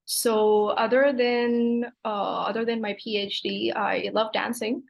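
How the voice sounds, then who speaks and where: A woman's voice: a little high, flat delivery, slow, vocal fry, highs cut off. A woman in her twenties from Hong Kong, a PhD student chatting as a guest on a video call, with frequent "like" fillers. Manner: chatty, fills with "like". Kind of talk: casual interview chat.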